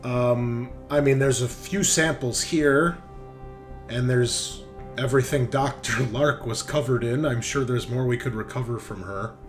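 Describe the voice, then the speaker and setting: A man running a tabletop roleplaying game as game master, narrating casually, with loose swearing and excitable reactions. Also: medium pitch, measured pace. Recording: background music under the voice. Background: music.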